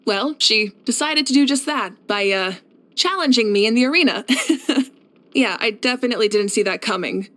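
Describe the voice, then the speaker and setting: A woman voicing a steadfast, caring warrior leader in a video game, speaking in a measured, reflective and assured way. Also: fairly high pitch, fairly bright tone, close to an everyday voice. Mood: amused, fond. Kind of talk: scripted video game character voice lines.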